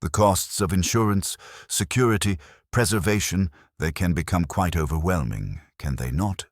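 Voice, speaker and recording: A man reading the part of a fastidious detective, speaking in a precise, probing, formal way. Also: sits low, no echo, studio quality. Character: fastidious Belgian male detective, middle-aged.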